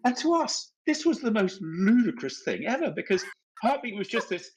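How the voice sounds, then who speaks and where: A man's voice: high voice, sing-song, moderate pace, slight background noise. A middle-aged man with a British English accent, telling anecdotes casually and emphatically, often repeating words as he goes. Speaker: company executive on livestream.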